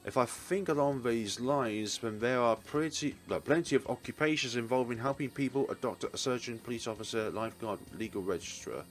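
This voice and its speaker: A man's voice: mid-range voice, brisk, hardly any pauses, soft background music. A man reading a visual novel's story aloud as a let's player. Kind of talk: reading narration and character lines aloud.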